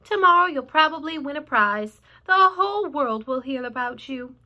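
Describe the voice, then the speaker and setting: A woman voicing a wise, gentle female spider who speaks slowly and soothingly. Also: high voice, animated, pitched above the performer's own voice, heavily acted.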